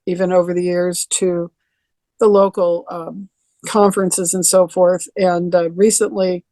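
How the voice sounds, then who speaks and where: Older woman, deeper voice, rambling video-call interview guest, dry close sound. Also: flat delivery, a little slow, dark tone, dry recording. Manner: rambling, many restarts and ums. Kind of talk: relaxed reminiscing over a video call.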